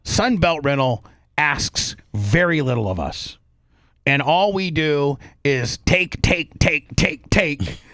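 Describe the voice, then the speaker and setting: A man's voice: a little high, expressive, slow pace, a bit bright, no room sound, clean studio sound. Rambling, emphatic American man hosting talk radio. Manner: rambling, repetitive, emphatic listing. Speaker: radio show host. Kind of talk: unscripted talk radio sponsor pitch.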